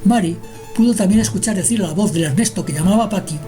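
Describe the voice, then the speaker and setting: A woman's voice: mid-range voice, quick pace, mellow. A woman narrating a scripted radio drama as the storyteller. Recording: music behind the narration.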